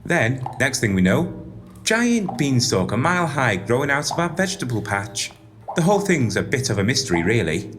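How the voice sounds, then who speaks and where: Woman acting naive youthful farm boy, low pitch, lively swings, exclamatory, pantomime. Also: normal speed, slight background noise. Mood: chatty, explaining. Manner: earnest, exclamatory, inquisitive.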